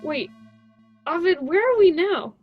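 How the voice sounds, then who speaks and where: Young woman acting a scheming college girl, high voice, dry sound, grand and dramatic, radio play. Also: animated, a little slow, no room sound, highs cut off, faint music behind. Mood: petulant. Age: young adult. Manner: grandiose, theatrical.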